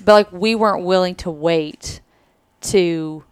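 A woman's voice: fairly low pitch, slow, clear, resonant tone, no echo, studio quality. A woman in her twenties talking candidly on a podcast at a trade expo, thinking aloud with hedges. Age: 20s. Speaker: podcast co-host, married to co-host. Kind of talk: casual podcast conversation.

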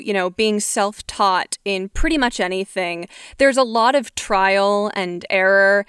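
A woman hosts a podcast interview with a very smooth, clear voice. She asks her questions in a measured, thoughtful way. The sound is dry and close. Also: mid-range voice, fairly bright tone, dry recording.

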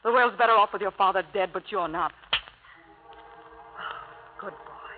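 A woman's voice: medium pitch, a little slow, background noise, lightly acted. An actress playing a lovelorn, embittered woman with an intense, pointed delivery in a 1950s radio melodrama. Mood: pleading, scornful. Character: lovelorn, embittered woman pleading with her hardened lover. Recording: old tinny radio broadcast.

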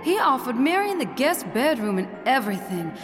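An actress playing a brazen, hot-tempered country wife in an audio drama, blunt, folksy and theatrical. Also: female voice, sits high, very animated, brisk. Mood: exasperated. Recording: long hall reverb, music behind.

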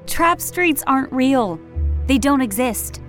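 A woman's voice: high-pitched, animated, slow pace, a bit bright, soft background music, exaggerated voice. An actress playing an anxious, defensive woman in an audio drama trailer.

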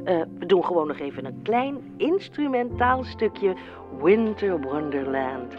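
An actress playing an effusive, chummy, bubbly radio show host in an audio drama. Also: female voice, on the low side, sing-song, unhurried. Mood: announcing. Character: gushing, chatty woman radio show host. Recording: dull lo-fi radio sound over music.

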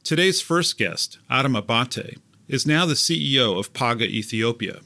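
A man hosting a podcast, reading scripted narration in a clear, even, polished way and putting questions to his guest. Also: medium pitch, normal speed. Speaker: podcast host.

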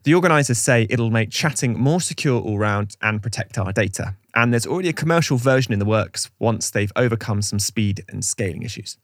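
A man's voice: on the low side, animated, fairly quick, hardly any pauses, no room sound, studio quality. A man co-hosting a podcast, reading scripted segments in a conversational way with wry, chatty asides. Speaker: podcast co-host.

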